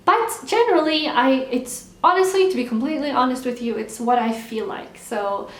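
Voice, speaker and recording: Young woman vlogger, chatty and relaxed, kinda high voice, roomy echo. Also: normal speed. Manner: chatty, relaxed, repeats words for emphasis. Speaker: vlogger answering viewer questions. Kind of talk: casual Q&A chat. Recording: echoey room.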